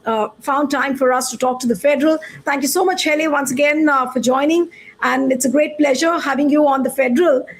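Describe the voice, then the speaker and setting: A woman's voice: high-pitched, rough recording. A woman hosting a news programme, formal and pressing with follow-up questions. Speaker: show host. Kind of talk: news interview and programme intro.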